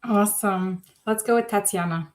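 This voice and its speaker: Woman moderator, brisk and encouraging, mid voice, video call. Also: medium pitch. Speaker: panel moderator. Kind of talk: moderating an online panel.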